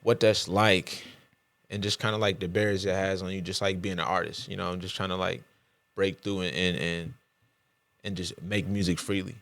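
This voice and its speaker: A man's voice: sits low, little pitch movement, normal speed, fairly bright tone, no room sound. A man co-hosting a podcast, asking questions in a laid-back, slangy way, often tacking on "you know what I mean". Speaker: podcast co-host. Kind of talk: casual podcast interviewing. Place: podcast studio.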